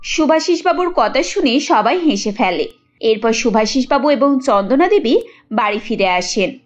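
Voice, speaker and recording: A woman's voice: fairly high pitch, lively intonation, moderate pace. A woman reading scripted narration for a cartoon family story. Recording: dull lo-fi audio with music.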